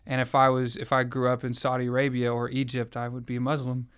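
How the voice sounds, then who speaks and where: A man's voice: mid-range voice, completely flat delivery, fast talker, a bit bright, clear, resonant tone. A young man from the South, earnestly and reflectively telling his faith story in an interview. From American South. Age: young adult. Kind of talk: personal testimony in conversation.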